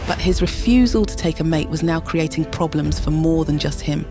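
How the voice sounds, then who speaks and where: A woman narrating an audio drama with dramatic, measured storytelling. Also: deep voice. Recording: music bed under narration.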